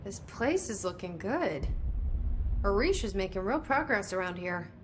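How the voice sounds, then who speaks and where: A woman's voice: medium pitch, animated, unhurried, a little hiss or hum, natural voice. A young woman voicing a determined, dry-humored game heroine. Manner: dry, understated. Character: determined young female bureau director.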